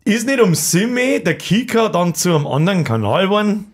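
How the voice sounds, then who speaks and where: A man with a Bavarian accent hosts a casual chat among friends. His voice is husky and sits a bit high, swinging widely in pitch as he jokes around in blunt, coarse language. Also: fairly quick. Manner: animated, coarse joking. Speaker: podcast host.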